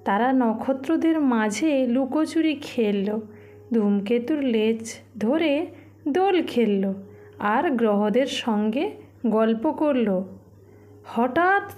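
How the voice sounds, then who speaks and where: A woman's voice: on the high side, animated, takes it slowly, a little mellow. A woman voicing a kindly, patient grandmother who narrates and explains in a children's cartoon story. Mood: storytelling.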